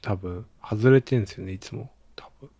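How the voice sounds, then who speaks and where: A man's voice: lowish voice, brisk, warm tone, breathy edge, no echo. A man chatting casually as a speaker on a podcast. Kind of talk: casual chat.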